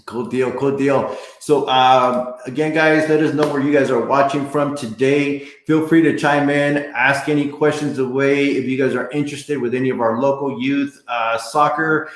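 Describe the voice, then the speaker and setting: A man's voice: mid-range voice, steady tone, moderate pace, hardly any pauses, reverberant. A man hosting a live podcast, talking casually and loosely with many fillers while addressing viewers directly. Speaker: realtor, podcast host.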